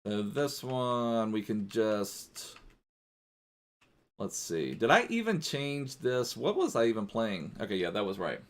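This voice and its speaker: A man's voice: on the low side, big pitch swings, slow pace. A man streaming a football video game live, chatting casually in a rambling way and thanking viewers as he plays.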